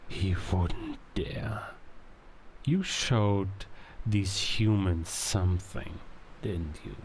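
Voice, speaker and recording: An actor playing a scheming werewolf king who coaxes and manipulates in a theatrical, insinuating way, with drawn-out words and hushed asides, in an audio drama. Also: low-pitched, a little hiss or hum. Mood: cold menace. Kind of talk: cinematic audio drama villain acting.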